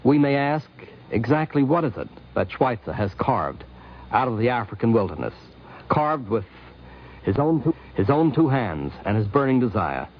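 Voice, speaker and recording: A man's voice: mid-range voice, very animated, moderate pace, slight background noise. A man narrating a scripted 1950s radio documentary in a formal, rhetorical manner. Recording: dull vintage 1950s radio recording.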